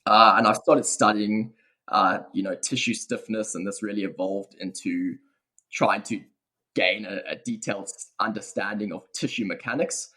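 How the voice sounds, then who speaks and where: Husky South African man, thoughtful. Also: fairly low pitch, steady tone, normal speed, sharp tone. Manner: thoughtful, hedging with many fillers. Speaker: guest researcher. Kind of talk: podcast interview.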